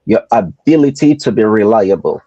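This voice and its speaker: Mellow Nigerian man hosting a podcast. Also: on the low side, animated, no room sound, muffled recording. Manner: rhetorical, deliberate repetition. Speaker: podcast host. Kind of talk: motivational podcast talk.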